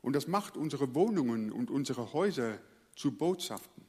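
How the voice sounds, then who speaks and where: A male pastor from the Netherlands, a native Dutch speaker, preaching earnestly and letting his phrase endings fall quiet. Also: medium pitch, animated, moderate pace, slightly rough, big-room sound. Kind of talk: sermon.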